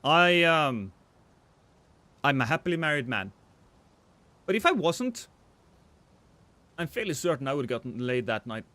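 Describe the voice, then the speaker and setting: A man's voice: on the high side, big pitch swings, a little slow, long pauses, bright, forceful tone, no echo. A man, chatting casually and joking with his chat while streaming a game. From Norway.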